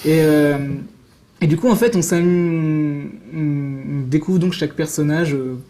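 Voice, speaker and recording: A man's voice: on the high side, measured pace, mellow. A man explaining things in casual interview talk, enthusiastic and prone to digressions. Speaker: guest presenting visual novels.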